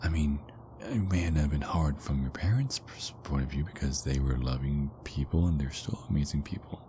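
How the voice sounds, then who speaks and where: Very deep-voiced, whispery werewolf boyfriend, acted by a man. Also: normal speed. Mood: soft, nostalgic. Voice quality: very deep, breathy, often dropping into whispers. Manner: hesitant, rambling, murmured pillow talk. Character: big affectionate male werewolf boyfriend, playful and apologetic. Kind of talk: intimate ASMR boyfriend roleplay. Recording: clearly noisy background ambience.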